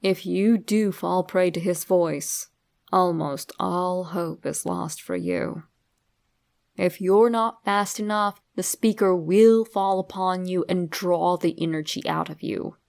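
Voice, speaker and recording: A woman reading a scripted horror story straight to the listener in a dramatic, deliberate way. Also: fairly low pitch, gravelly, darkish tone. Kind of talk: scripted creepypasta narration, addressed to the listener.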